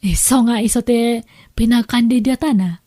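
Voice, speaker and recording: Actress as a patient wife, higher-pitched and close. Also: female voice, normal speed, dark tone, no echo, natural voice. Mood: earnest, patient. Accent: Filipino. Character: struggling, patient wife and mother. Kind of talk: Ilocano audio drama acting.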